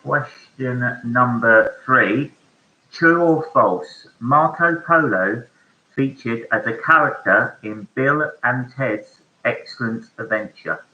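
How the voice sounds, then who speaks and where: A man hosting an online pub quiz, reading each question slowly and repeating it. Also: medium pitch. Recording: echoey room, dull lo-fi sound.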